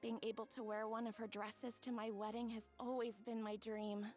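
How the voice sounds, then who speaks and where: A woman playing a gentle, grateful young bride-to-be who tells her story softly and from the heart. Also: a little high, level tone, normal speed, soft background music, natural voice. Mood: wistful.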